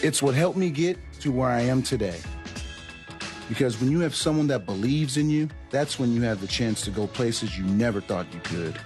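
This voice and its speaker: A man's voice: mid-range voice, animated, normal speed, darkish tone. A man delivering a scripted testimonial for a public service announcement. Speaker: speaker in a charity spot. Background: music.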